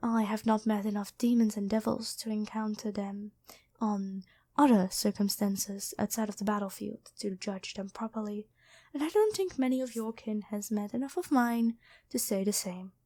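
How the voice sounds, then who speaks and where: A woman playing a kind angel medic and former nun in an ASMR roleplay, speaking gently and hesitantly to the listener. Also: mid-range voice, normal speed, natural voice. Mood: patient, earnest. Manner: gentle, soft-spoken, apologetic, hesitant.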